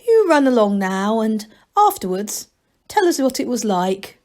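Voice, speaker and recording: A woman voicing an ancient gnome abbot in a storybook reading, smooth, condescending and mockingly kind. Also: a little high, very animated, unhurried, darkish tone. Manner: smooth, condescending, mock-kindly.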